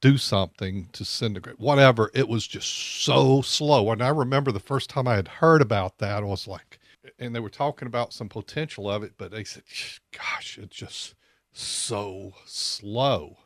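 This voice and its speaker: A man's voice: fairly low pitch, normal speed, no room sound, clean studio sound. A man, hosting a live financial talk radio show in a chatty way, often repeating words as he goes. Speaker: radio host. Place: radio studio. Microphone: studio microphone.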